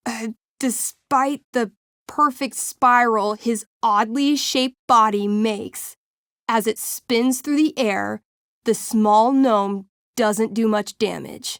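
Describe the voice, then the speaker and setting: An actress playing a playful young game master who narrates a tabletop fantasy adventure theatrically, relishing the gory details. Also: female voice, a little high, no echo, clean studio sound. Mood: gleeful, dramatic. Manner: theatrical storytelling, deliberate, savoring vivid details. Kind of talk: dramatic tabletop game narration, video game acting.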